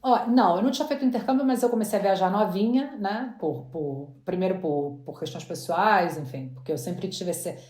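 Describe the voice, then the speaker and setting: A woman's voice: mid-range voice, brisk, hardly any pauses, a little mellow. Chatty woman in a roomy space. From Brazil. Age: 40s. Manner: chatty, restarts words often. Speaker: guest entrepreneur. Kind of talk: casual interview chat. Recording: roomy echo.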